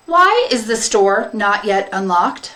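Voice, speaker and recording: A woman voicing a big, logical farm robot with a stiff, measured, superior robotic delivery. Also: medium pitch, big pitch swings, bright, forceful tone, pitched above the performer's own voice, exaggerated voice. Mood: flat, officious.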